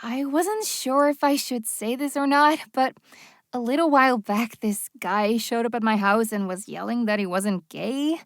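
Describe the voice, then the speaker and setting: A woman's voice: a little high, normal speed, dry recording, studio quality, lightly acted. A young woman voicing a hot-tempered teenage girl in a comic dub. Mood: hesitant.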